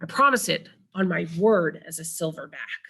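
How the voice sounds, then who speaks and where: A woman's voice: fairly low pitch, animated, moderate pace, vocal fry, a bit bright, slightly rough, heavily acted. A woman voicing a grieving, self-doubting male silverback gorilla in a children's storybook. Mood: solemn.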